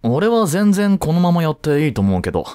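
A man's voice: fairly high pitch, sing-song, clear, resonant tone, no echo, clean studio sound. A man playing an easygoing, caring teenage boy, a childhood friend, in a romantic audio drama, speaking casually and reassuringly to the listener. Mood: concerned, supportive. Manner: casual, gently reassuring, slow with pauses.

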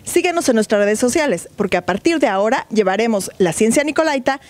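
A woman's voice: on the high side, lively intonation, fairly quick. Woman inviting viewers to follow the segment. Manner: upbeat, inviting. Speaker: science segment presenter. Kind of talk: promotional presenting.

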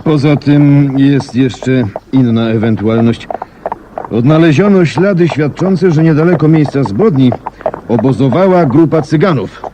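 An actor playing an earnest gentleman colonel in a 1970s Polish radio play, speaking formally, courteously and matter-of-factly. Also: a little high, unhurried, natural voice. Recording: noisy archival 1970s radio recording.

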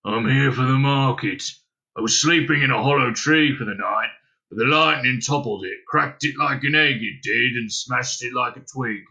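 A man voicing a small, humble, hairy creature with a rough voice and rambling rustic phrasing in a storybook reading. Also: mid-range voice, moderate pace, pushed and bright, highs cut off. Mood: chatty, rambling.

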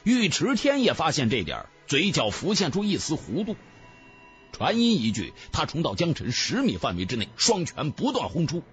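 A man's voice: on the high side, huge pitch swings, normal speed, soft background music. A man narrating a fantasy audiobook in a dramatic, theatrical scripted style.

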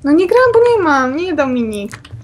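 A woman's voice: high voice, sing-song, normal speed, slight background noise. A woman chatting while playing a game with a friend, giggling often and playfully complaining. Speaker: co-player. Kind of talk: casual let's-play chat.

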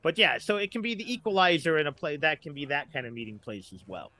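A man's voice: a little high, lively intonation, fast talker, pushed and bright. A middle-aged man talking casually as a writer guest on a podcast.

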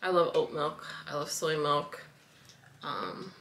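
A woman's voice: sits low, steady tone, slow, creaky, a bit bright, a little hiss or hum. A woman in her thirties chatting casually through a grocery haul in her home kitchen, with lots of fillers. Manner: chatty, loose, many fillers and likes. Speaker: wellness vlogger. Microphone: camera on the counter, moving in and out of frame.

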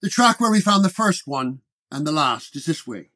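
A man voicing a brash, sneering young police sergeant in a detective story, gruff and needling. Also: a little high, big pitch swings, normal speed, sharp tone. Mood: brusque.

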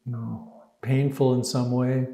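A man teaches guided meditation with a dark, relaxed voice. His delivery is very slow and soothing, with little rise or fall in pitch and gentle pauses between phrases. The sound is echoey.

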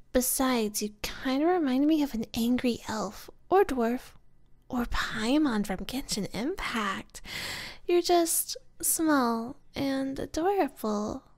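A woman playing an easygoing, playful young kidnap captive who loves gaming, speaking softly and casually in an ASMR roleplay audio drama. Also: sits high. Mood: calm, teasing. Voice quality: soft. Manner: casual, teasing, conversational.

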